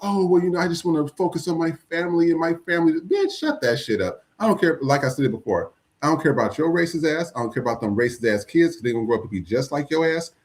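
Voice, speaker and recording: Male podcast host, blunt and profane, animated delivery. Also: a little high, fast, hardly any pauses. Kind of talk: casual commentary.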